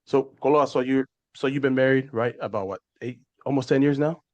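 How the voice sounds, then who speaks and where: A man's voice: medium pitch, a little fast, darkish tone, dull audio. A man hosting a podcast, asking questions in a chatty way full of fillers and "right?" checks. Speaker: podcast host. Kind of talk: casual podcast interview.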